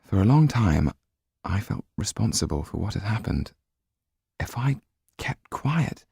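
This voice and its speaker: Man acting young gentleman, audiobook character, earnest, gentle, grateful, dry close sound. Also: on the low side, very animated, unhurried, creaky, breathy edge, no echo, voice pushed up, exaggerated voice. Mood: troubled.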